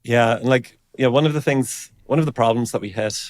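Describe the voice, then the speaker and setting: A man giving an informal technical explanation as an interview guest, thinking aloud in a halting way. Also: mid-range voice, no echo. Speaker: engineering director, interview guest.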